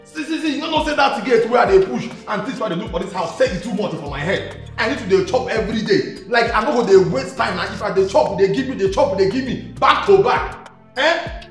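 A hungry, boastful Nigerian house worker in a comedy film. His voice is high and dramatic, circling back over phrases with exclamations. The room echoes and faint music plays behind. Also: animated, a little fast. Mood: pleading, boastful. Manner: dramatic, repetitive, full of exclamations. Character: boastful, lovesick, ever-hungry male house worker, excitable and clownish. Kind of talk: comic film acting in Pidgin.

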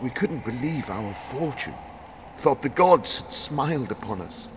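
An actor playing a nervous, guilt-ridden former soldier in a fantasy video game, halting and half broken. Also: male voice, mid-range voice, expressive, noisy background, lightly acted. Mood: haunted confessing.

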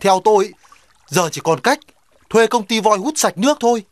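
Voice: mid-range voice, animated, normal speed, no room sound, close to an everyday voice. An actor voicing a practical, talkative animal neighbour in a cartoon dub, persuading and organising the others. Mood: decisive. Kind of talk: Vietnamese children's cartoon dubbing.

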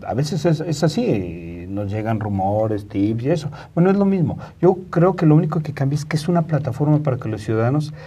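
Husky, mellow middle-aged Mexican man. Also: medium pitch, lively intonation, a little fast, few pauses. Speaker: journalist, studio guest. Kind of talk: explanatory panel interview talk. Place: TV studio, indoors, round table. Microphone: lapel mic.

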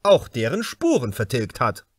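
A man's voice: medium pitch, wildly swooping pitch, moderate pace, breathy edge, no echo, clean studio sound. A man voicing a severe state prosecutor in an audiobook, speaking formally and emphatically like a courtroom orator. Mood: grave, insistent.

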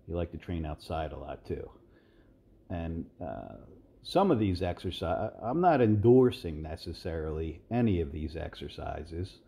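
A man in his sixties giving relaxed, rambling voice-over commentary as a fitness video host, with many fillers and restarts. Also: low-pitched, unhurried, vocal fry. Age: 60s.

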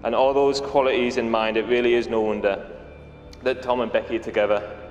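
A man giving a wedding toast as a friend of the couple, speaking warmly with small hesitations. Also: mid-range voice, level tone, a little fast, lo-fi sound. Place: wedding reception venue. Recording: echoey hall with music over it.

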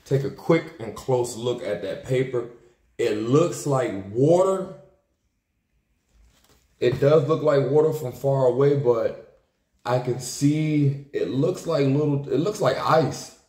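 A man's voice: medium pitch, slow pace, dark tone. An American man in his thirties chatting casually through an unboxing vlog, laid-back and slangy, repeating his phrases. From Maryland, United States. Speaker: YouTube sneaker unboxer. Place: small indoor room with plain wall. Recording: roomy, echoing indoor sound.

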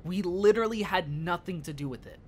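A woman's voice: fairly low pitch, lively intonation, fairly quick, a little hiss or hum, close to an everyday voice. A woman playing an eager, level-headed young rookie superhero in a comic dub, over music. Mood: casual. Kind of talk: acted comic dub dialogue. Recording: prominent music behind the voice.

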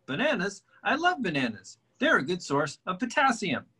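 A man's voice: high voice, huge pitch swings, normal speed, sharp tone, slightly rough, heavily acted. A man reading a goofy prankster bar regular in an amateur table read over a video call, teasing in a silly sing-song way.

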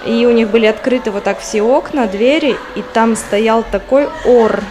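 A woman's voice: a little high, moderate pace, over music. A woman from Kazakhstan walking through a school and chatting to her viewers, filming on a handheld camera. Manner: chatty, explaining to viewers with small laughs and filler sounds. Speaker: vlogger. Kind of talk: casual walking vlog narration. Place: inside a large school building, rooms and corridors, partly outdoors. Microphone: handheld camera, speaker off screen. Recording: camera recording with clear background noise.

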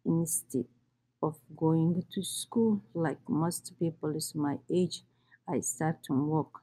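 A woman, reading her own life story in halting English. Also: sits low, mellow, husky, poor audio. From Somalia. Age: 30s. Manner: halting, with restarts and non-native grammar. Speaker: immigrant storyteller.

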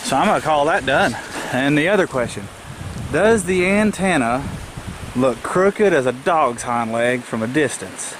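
A man's voice: on the high side, lively intonation, measured pace. A man in his thirties giving a casual, practical how-to explanation in a folksy way, recorded outdoors on a camera mic. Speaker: amateur radio hobbyist and vlogger. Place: outdoors in a rural yard. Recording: outdoor camera audio, loud background noise. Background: loud outdoor ambient noise.

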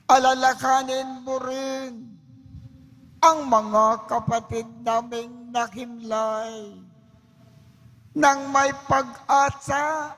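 Very slow, solemn male priest. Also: extremely high pitch, little pitch movement, a bit bright. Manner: solemn, measured, deliberate phrasing. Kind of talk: reciting the Mass liturgy. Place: large church.

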